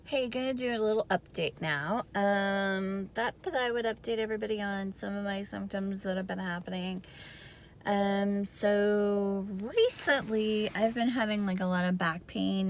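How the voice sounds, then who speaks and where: A woman giving a casual video diary update in a hoarse, raspy voice, hesitant and rambling with lots of fillers. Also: on the low side, slight background noise. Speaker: vlogger giving a health update.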